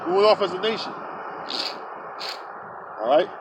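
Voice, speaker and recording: A man's voice: high-pitched, lively intonation, a little slow, dull audio, noisy background. A man teaching outdoors, reading verses aloud and then explaining them in blunt, casual street talk with many filler sounds. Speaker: street preacher. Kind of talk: scripture teaching.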